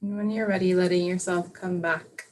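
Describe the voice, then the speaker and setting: A woman yoga teacher leading a live online class over a video call, guiding a reflection softly and unhurriedly with many fillers. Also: fairly low pitch, fairly even intonation, moderate pace, slight background noise.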